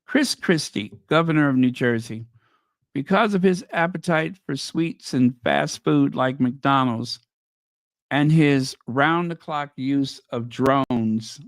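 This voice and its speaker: Slow gravelly man going hoarse. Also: medium pitch, no echo. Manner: sarcastic, mocking asides. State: losing his voice. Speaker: livestream host. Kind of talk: casual livestream chat.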